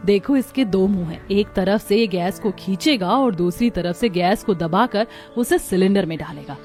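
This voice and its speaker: A woman's voice: medium pitch, moderate pace, darkish tone, faint music behind, close to an everyday voice. A woman voicing a confident eldest daughter-in-law in a village cartoon, explaining things in an assured, instructive way. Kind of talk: scripted cartoon dialogue.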